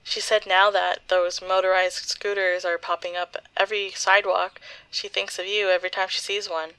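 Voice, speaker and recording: A woman playing a grieving, bitter young woman in a halting, intimate audio drama monologue. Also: medium pitch, little pitch movement, pushed and bright, smooth, no echo, low-quality recording. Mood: sad, subdued. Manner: halting, hesitant, slow. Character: grieving young woman, bitter, leaving voicemail for lost close friend.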